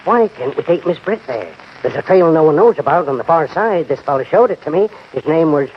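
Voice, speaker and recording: A man playing a folksy, excitable, slow-witted old cowboy sidekick with an American Western drawl in a radio western. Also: fairly high pitch, a little hiss or hum, close to an everyday voice. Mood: puzzled. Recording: muffled vintage radio recording.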